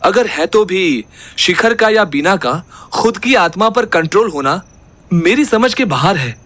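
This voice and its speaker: A man's voice: high-pitched, lively intonation, unhurried, a bit bright, no room sound, full character voice. An actor playing an analytical, sceptical young man investigating ghosts in a horror audio drama. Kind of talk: dramatised horror audio story, Hindi mixed with English.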